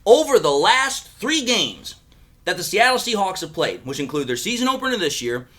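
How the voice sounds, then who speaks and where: A man's voice: high voice, big pitch swings, normal speed, a bit bright. A man hosting a sports fan show, speaking in a casual, chatty way straight to his viewers. He is a little under the weather, so his voice sounds slightly crackly. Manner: chatty, repeats himself, addresses viewers directly. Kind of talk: casual sports analysis talk.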